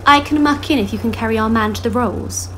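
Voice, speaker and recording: A woman playing a brisk, self-assured upper-class British widow in a radio play, kindly but bossy. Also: fairly high pitch, pushed and bright, a little hiss or hum. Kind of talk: 1930s-set radio play acting.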